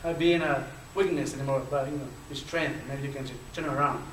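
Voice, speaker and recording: A man's voice: mid-range voice, fairly even intonation, brisk, fairly bright tone. A man from Chile with a Chilean Spanish accent tells his personal story as a panelist, speaking with a stutter and many fillers. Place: lecture hall. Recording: echoey hall from far off, faint noise.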